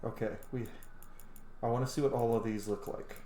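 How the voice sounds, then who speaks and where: A man's voice: mid-range voice, steady tone, a little fast, mellow. A man doing casual let's play commentary, informal and sweary, thinking aloud as he plays. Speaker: gaming video host. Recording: echoey room with faint background noise.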